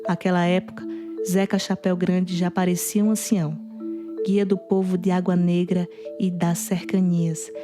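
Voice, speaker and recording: A singer-songwriter woman reading a passage from a novel in a calm, measured way. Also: on the low side, over music. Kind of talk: literary reading.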